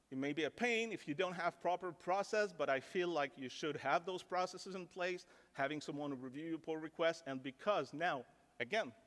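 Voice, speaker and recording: A man's voice: fairly high pitch, a bit bright. French-native man giving a chatty tech talk. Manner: chatty, many fillers, self-deprecating asides to the audience. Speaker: conference speaker. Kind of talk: technical conference talk. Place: conference hall. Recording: reverberant hall.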